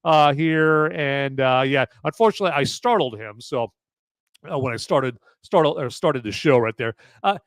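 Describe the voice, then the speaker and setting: A man hosting a live movie review podcast, with a loud voice that carries, who rambles casually with lots of fillers and restarts. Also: mid-range voice, normal speed, clean tone, dry recording.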